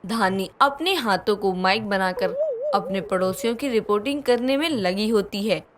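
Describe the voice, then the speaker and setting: A woman's voice: mid-range voice, moderate pace, background noise. A woman reading scripted moral-story narration as the storyteller. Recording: music behind the voice.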